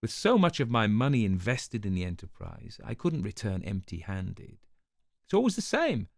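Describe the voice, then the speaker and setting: A man's voice: fairly low pitch, huge pitch swings, moderate pace, gravelly, dry recording, studio quality, heavily acted. A man reading a storybook part as a callous, self-justifying spymaster who speaks in a formal, disdainful, precise way. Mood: self-justifying, weary. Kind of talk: dramatized audiobook reading.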